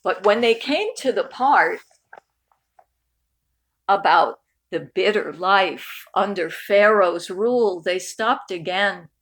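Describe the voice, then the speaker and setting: A woman reading a picture book aloud expressively, with deliberate, dramatic phrasing that stretches key words. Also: medium pitch, animated, fairly bright tone. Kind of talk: expressive storybook read-aloud.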